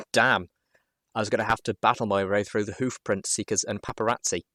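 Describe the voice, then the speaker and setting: A man narrating a first-person story with expressive, dramatic delivery. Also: on the low side, normal speed, no echo, studio quality. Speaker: audiobook reader, show host.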